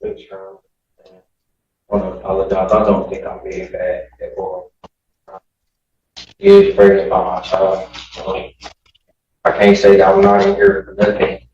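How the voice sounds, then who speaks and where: A young man speaking haltingly as a defendant addressing the court. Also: deep voice, big pitch swings, darkish tone. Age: young adult. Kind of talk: halting spoken apology. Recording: echoey distant courtroom, muffled and noisy.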